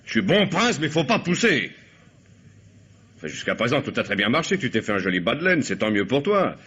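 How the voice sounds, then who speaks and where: An actor playing Fernand's part of a cynical, bossy drug-trafficking boss in an old French radio crime drama, slangy and mocking, with a husky voice. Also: male voice, mid-range voice, very animated, fairly quick, a bit bright. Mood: irritated, menacing. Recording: dull lo-fi old radio recording.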